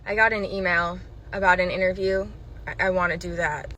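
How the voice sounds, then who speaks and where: A woman's voice: lowish voice, level tone, fairly quick, pushed and bright, a little hiss or hum, poor audio. Hesitant male job applicant, played by a woman. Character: nervous, hesitant man phoning about a job interview.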